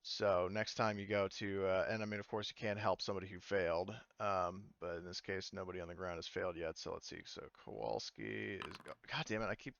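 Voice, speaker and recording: A man's voice: low voice, flat delivery, a little fast, vocal fry, few pauses, a bit bright, muffled recording. A man game master improvising his narration of an online tabletop game, hesitantly thinking aloud and swearing casually.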